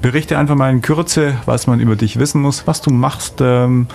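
A man hosting a studio radio interview, speaking haltingly in long, winding sentences. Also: mid-range voice, steady tone, gravelly, darkish tone, a little hiss or hum. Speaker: radio host. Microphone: studio microphone.

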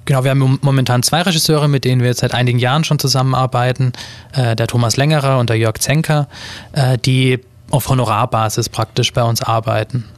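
A man from an amateur theatre group explaining their work as a radio guest, steady and explanatory with occasional fillers. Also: mid-range voice, fast, dry recording.

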